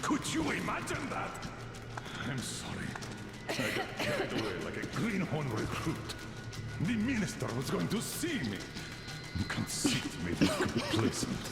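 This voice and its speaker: Gruff, bitter old military commander. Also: male voice, a little high, wildly swooping pitch, a little slow, full character voice. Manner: brusque, swearing, barking orders. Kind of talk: video game cutscene acting. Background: loud game score music.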